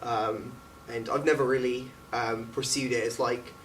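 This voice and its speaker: A man's voice: medium pitch, flat delivery, a little slow. A man, a student actor chatting casually in an interview. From England. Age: late teens. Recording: distant mic with faint background noise.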